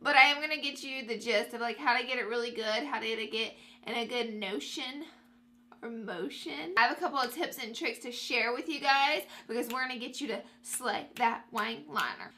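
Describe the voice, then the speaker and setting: A woman's voice: fairly high pitch, moderate pace, pushed and bright. A young woman with a stuffy nose from allergies, chatting upbeat through a makeup tutorial for her viewers. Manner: upbeat, addresses viewers as guys. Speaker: beauty vlogger.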